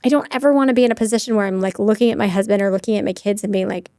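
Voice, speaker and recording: A woman's voice: medium pitch, steady tone, racing, mellow, dry recording, studio quality. A woman in her forties, a mother co-hosting a podcast in casual chat, telling earnest personal stories and sharing what she has read. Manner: earnest storyteller, shares personal anecdotes and research. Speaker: podcast co-host.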